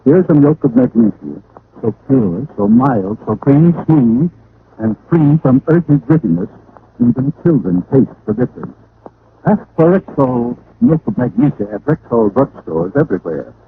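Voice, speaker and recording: A man's voice: medium pitch, very animated, normal speed, creaky. An actor playing an earnest, friendly male family druggist in a vintage radio commercial, with emphatic salesmanship. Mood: persuasive. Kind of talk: 1940s-50s radio commercial. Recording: noisy, phone-like old radio recording.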